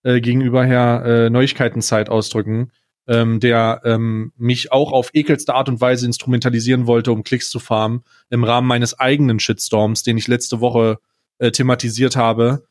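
A young man with a medium-pitched voice speaks as a podcast co-host. He gives casual, opinionated takes in blunt slang, often starting haltingly. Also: level tone.

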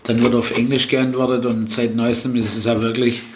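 A man's voice: medium pitch, little pitch movement, fairly quick. A middle-aged man whose first language is Italian, working as a festival-tent jester and stage host, answering interview questions in a rambling way, full of fillers, self-corrections and a tag "ne?". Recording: roomy echo with faint background noise.

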